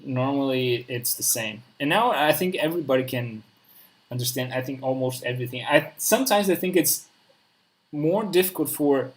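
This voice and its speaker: A man's voice: mid-range voice, animated, normal speed. A man from Spain with a Spanish accent, a native Spanish speaker talking in English, hosting a casual conversation and often searching for words.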